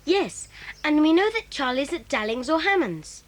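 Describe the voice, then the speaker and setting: A woman voicing an excitable, eager schoolboy who talks in a lively, chatty, breathless way in a 1980s children's audio drama. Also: high voice, moderate pace.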